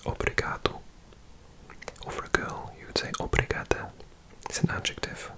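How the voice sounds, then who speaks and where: A person giving an ASMR language lesson in a breathy whisper, softly repeating words in an unhurried way. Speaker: language teacher.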